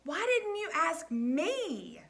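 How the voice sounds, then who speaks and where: Stern insistent coach guy, bright pushy delivery, a woman reading him. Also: extremely high pitch, huge pitch swings, slow, gravelly, pitched above the performer's own voice, heavily acted. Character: stern caring adult male track coach.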